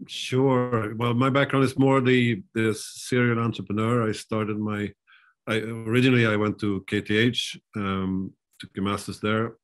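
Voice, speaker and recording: Low-voiced middle-aged Swedish man. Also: little pitch movement, measured pace. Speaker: entrepreneur, seminar guest. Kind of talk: casual account of career. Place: indoors by shelves, video call.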